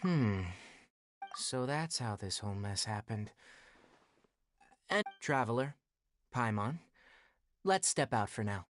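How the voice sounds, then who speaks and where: A man playing a young, sensible forest ranger in an anime game, brisk, caring and matter-of-fact. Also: mid-range voice, animated, slight background noise. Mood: pensive. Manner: matter-of-fact, gently scolding.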